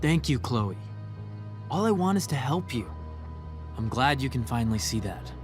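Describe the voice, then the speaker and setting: Intense teenage boy with wildly swinging pitch man acting. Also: very animated, normal speed, music in the background, close to an everyday voice. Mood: earnest, consoling. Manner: insistent, earnest, intense. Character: possessive, obsessive, self-righteous teenage boy. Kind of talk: dramatic video game voice acting.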